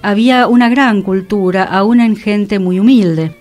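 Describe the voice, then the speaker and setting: A woman's voice: medium pitch, unhurried, a little mellow. A woman with a Rioplatense Argentine accent, talking reflectively as a radio host about cultural history.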